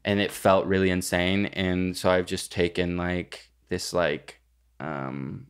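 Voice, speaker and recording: A man's voice: sits low, flat delivery, slow pace, clean tone. A young man co-hosting a casual podcast, with a jokey, exaggerating, sweary way of talking. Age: young adult. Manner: jokey, profane, hyperbolic. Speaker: podcast co-host.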